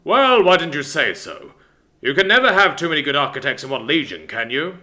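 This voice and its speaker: A man reading a story aloud, voicing a stern, towering armored warlord father who talks in a loud, commanding way. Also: a little high, sing-song, brisk, heavily acted. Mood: probing.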